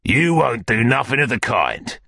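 A bullying Cockney crook for an audiobook. The voice growls with gravelly force, bitter and demanding, swinging widely in pitch. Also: male voice, sing-song, a little slow, sharp tone, no echo, studio quality, full character voice. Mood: surly demanding. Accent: London Cockney. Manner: surly, demanding. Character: brutish, bitter, bullying male London criminal. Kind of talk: audiobook character acting.

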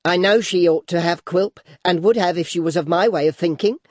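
Man playing a high-voiced indignant old mother-in-law. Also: a little mellow, no echo, studio quality. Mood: nervous defiance. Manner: huffy, hurried, challenging. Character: indignant old mother-in-law, quarrelsome yet secretly fearful.

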